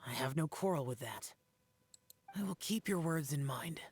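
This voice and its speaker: A woman's voice: deep voice, animated, normal speed, a little mellow, slightly rough. A woman voicing a reserved, courteous guardian in an anime game, formal and terse. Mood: solemn.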